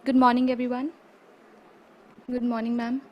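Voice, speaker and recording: A woman's voice: a little high, level tone, moderate pace, dull audio, slight background noise. A young woman student politely and formally presenting in an online class.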